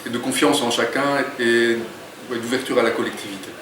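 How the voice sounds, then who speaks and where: A man's voice: medium pitch, fairly even intonation, fairly quick. A thoughtful, slightly hesitant man, an author, speaking in an interview. His voice carries the echo of a large room. It sounds well away from the mic, with a faint hum beneath it. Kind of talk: interview, reading aloud. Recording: roomy echo, distant mic.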